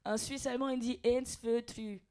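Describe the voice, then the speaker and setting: A woman's voice: on the high side, steady tone, normal speed, crowd noise. Earnest swiss woman explaining. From Switzerland. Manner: earnest, explains carefully with many fillers. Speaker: guest, freestyle rapper and actress. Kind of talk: casual podcast chat.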